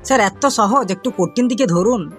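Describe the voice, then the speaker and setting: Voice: medium pitch, moderate pace, fairly bright tone, soft background music, lightly acted. A performer voicing a cocky, mischievous schoolboy in a comic cartoon, boastful and recitational, with a Bengali accent. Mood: confident reciting.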